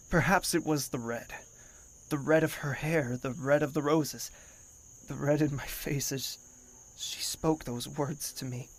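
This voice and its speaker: A man's voice: medium pitch, vocal fry, slight background noise. A man voicing a brooding lonely young royal. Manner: quiet and reflective, sometimes whispering. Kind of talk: first-person audio drama narration.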